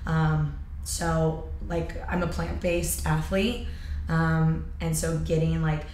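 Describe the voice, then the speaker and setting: Hoarse, energetic woman coach. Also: low voice, takes it slowly, reverberant, a little hiss or hum. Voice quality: loud, projecting voice. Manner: energetic, upbeat, many fillers. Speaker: fitness coach. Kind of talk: casual interview chat. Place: bright studio room with sofa.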